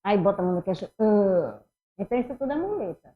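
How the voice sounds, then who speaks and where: A middle-aged woman with a Northeastern Brazilian accent, teaching an animated online exam-prep class and talking students through each step. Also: medium pitch, quick pace, slight background noise. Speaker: Portuguese teacher. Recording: somewhat dull lo-fi sound.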